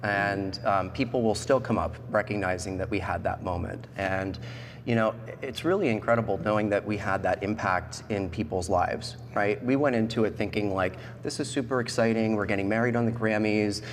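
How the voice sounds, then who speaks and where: Low-voiced American man in an echoey hall. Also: normal speed. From central Pennsylvania, United States. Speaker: interview guest. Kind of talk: personal storytelling in a talk show interview. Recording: echoey hall, background noise.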